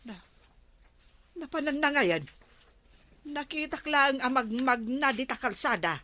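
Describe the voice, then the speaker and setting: A woman's voice: high voice, lively intonation, unhurried, bright, forceful tone, full character voice. A woman with an Ilocano accent playing an exasperated, nagging village woman in a radio comedy drama. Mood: calling, urgent.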